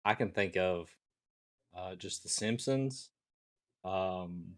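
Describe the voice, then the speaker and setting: A man's voice: low voice, slow pace. A middle-aged man co-hosting a casual chat, speaking in a hesitant, musing way. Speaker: talk show co-host.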